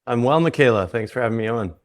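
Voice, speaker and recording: A man with a Canadian accent, a guest on an interview podcast, explaining his work in a relaxed way and often restarting his sentences. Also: medium pitch, fast talker. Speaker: guest, psychedelic retreat founder. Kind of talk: conversational interview.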